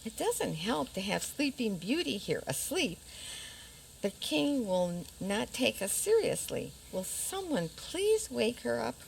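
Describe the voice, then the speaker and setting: A woman acts out a defiant, scheming princess in a radio play. The voice is gravelly at the edges and very animated, with a pointed, assertive delivery. Faint noise sits behind it. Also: fairly high pitch, a little slow, heavily acted, low-quality recording. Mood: exasperated. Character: blunt, scheming, defiant fairy-tale princess. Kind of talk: community radio theater acting.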